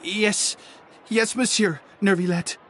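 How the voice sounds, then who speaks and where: An actor playing a cowed, apologetic underling in a video game, hesitant and stammering. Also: male voice, sits high, fairly even intonation, takes it slowly, fairly bright tone, a little hiss or hum. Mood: nervous, cowed. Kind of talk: video game dialogue acting.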